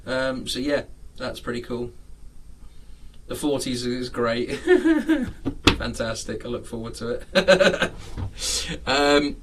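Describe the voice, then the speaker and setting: A man's voice: mid-range voice, a bit bright, recorded from a distance, a little hiss or hum. A man in his late thirties, hosting a casual live chat from his home model railway room, rambling with lots of fillers and frequent chuckles. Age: 30s.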